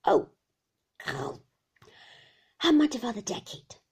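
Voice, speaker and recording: A woman's voice: high-pitched, very animated, slow, a little mellow, voice pushed up, exaggerated voice. A woman voicing a fierce, half-mad old male shopkeeper in a storybook, with a whining, throat-rattling, sing-song delivery. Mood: haggling, wailing. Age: older. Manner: wailing sing-song tune, rising and falling, exclamatory.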